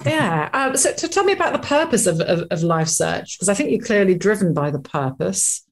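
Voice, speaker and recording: A woman's voice: medium pitch, very animated, moderate pace. A woman hosting an interview show, chatty and enthusiastic as she introduces and questions her guest. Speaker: interview host.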